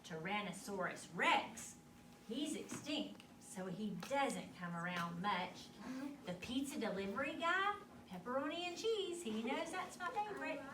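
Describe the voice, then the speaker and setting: Animated high-voiced woman reading aloud. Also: unhurried. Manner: expressive, teacherly, prompting listeners for answers. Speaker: storyteller reading to children. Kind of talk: animated picture-book read-aloud with questions to young listeners. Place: echoey indoor room at a school literacy event. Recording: roomy, distant mic with clear background noise.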